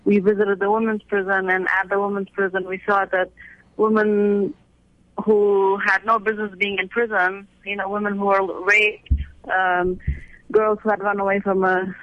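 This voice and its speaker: A high-pitched man, born in Afghanistan and raised in the United States, answers radio interview questions about his aid work. He speaks earnestly in a flat, even tone and often restarts his sentences. The sound is dull and lo-fi, with a dry, close feel. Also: moderate pace, dry recording. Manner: earnest, explains step by step with frequent restarts. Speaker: leader of a women's aid organization. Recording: dull lo-fi audio.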